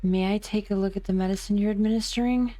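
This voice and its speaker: A woman's voice: medium pitch, fairly even intonation, fast talker, dark tone, natural voice. A woman voicing a precise researcher with a formal, procedural way of talking. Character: precise female lab researcher. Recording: faint music underneath.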